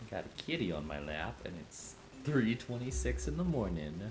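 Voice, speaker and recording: A man's voice: on the low side, very animated, normal speed, background noise. A man doing casual live gameplay commentary as a streamer, offhand and playful. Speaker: game streamer.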